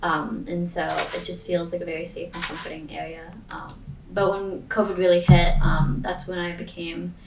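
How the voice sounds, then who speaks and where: Young woman student, low-ish voice, earnest and filler-heavy; distant mic in a roomy space with faint hiss. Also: flat delivery, moderate pace, hardly any pauses. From Maine. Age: young adult. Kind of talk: casual discussion answer.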